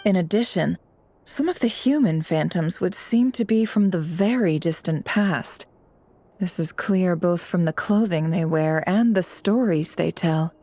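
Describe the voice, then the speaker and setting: A woman playing a cool, calculating intelligence broker in a video game, speaking in a measured, businesslike way. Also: on the low side, dark tone. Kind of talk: video game story dialogue.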